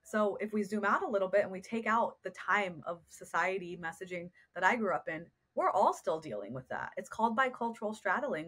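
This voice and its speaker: A woman's voice: medium pitch, fairly bright tone. A woman, a therapist speaking as a guest on a podcast interview, earnest and reflective, often posing rhetorical questions. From United States.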